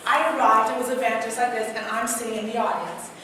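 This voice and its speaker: Energetic woman speaking on stage. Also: fairly high pitch, fast, a little hiss or hum. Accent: Trinidadian. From Trinidad. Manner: energetic, asks the crowd questions, tells personal stories. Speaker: motivational speaker. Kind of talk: live stage talk to an audience. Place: large hall with an audience. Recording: echoey hall, mic heard from a distance.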